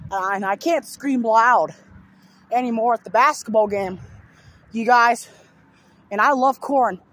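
A hoarse young man with a sore throat rambling through repeated simple lists in a casual vlog, filmed outdoors beside a road on a phone held at arm's length. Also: very high-pitched, measured pace, pushed and bright. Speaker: vlogger. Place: outdoors on a fenced overpass beside a busy road. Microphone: phone held low at arm's length. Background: faint passing traffic.

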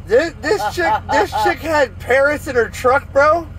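A high-pitched man talks in a casual, slangy and animated way. He is inside a vehicle, with faint road noise under his voice. His manner is folksy and chatty. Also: a little slow, some chatter behind. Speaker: vlogger chatting on CB radio. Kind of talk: casual chat.

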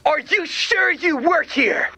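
An actor playing a zealous, theatrical revolutionary leader in a video game, given to grandiose rallying speeches. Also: male voice, very high voice, animated, takes it slowly, heavy background noise, exaggerated voice. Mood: rousing.

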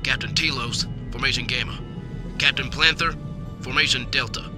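A man's voice: medium pitch, normal speed, music in the background, lightly acted. A man playing a formal, resolute fleet admiral giving orders in a science fiction audio drama. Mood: formal, commanding. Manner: formal, commanding military delivery.

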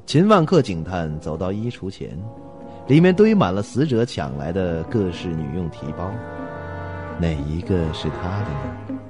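Deep-voiced, animated male narrator. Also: measured pace, over music. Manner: suspenseful, expressive storytelling. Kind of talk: dramatic scripted narration.

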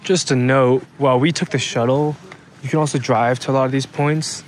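A man's voice: medium pitch, steady tone, a little fast, slight background noise. A young man narrating a casual travel vlog in a matter-of-fact, informative way. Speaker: travel vlogger. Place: outdoors at a snowy canyon overlook. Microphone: phone camera at arm's length.

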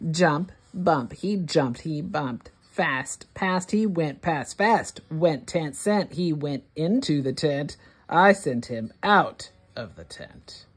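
A woman reading a rhyming picture book aloud for young children, word by word, with deliberate expression. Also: deep voice, slightly rough. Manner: deliberate, word-by-word, expressive delivery for early readers. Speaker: children's read-along host.

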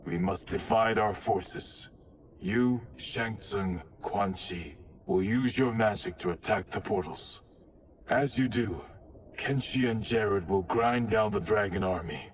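A commanding game ninja with a fairly low voice. He is stern, terse and formal, wary of others. Noisy ambience runs clearly behind him. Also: takes it slowly. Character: stern, commanding, distrustful male ninja warrior. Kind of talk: video game cutscene acting.

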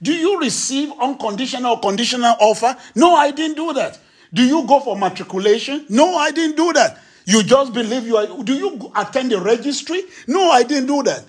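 High-voiced man preaching emphatically. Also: lively intonation, fairly quick, slightly rough. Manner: emphatic preaching, acts out dialogue with rising pitch. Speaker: pastor. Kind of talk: sermon teaching. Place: church stage with a lectern. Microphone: handheld microphone.

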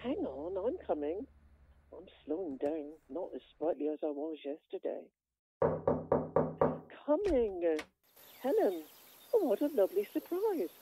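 Woman playing a mellow, forgetful ageing village lady. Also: lowish voice, very animated, unhurried, long pauses, low-quality recording. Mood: flustered. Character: ageing, forgetful, gently self-mocking village woman Barbara. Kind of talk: radio play acting.

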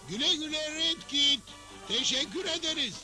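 An earnest Wild West cartoon townsman. The voice is very high and swings widely in pitch, with loud music playing behind. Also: lively intonation, normal speed, heavily acted. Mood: grateful. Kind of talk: dubbed Western cartoon acting.

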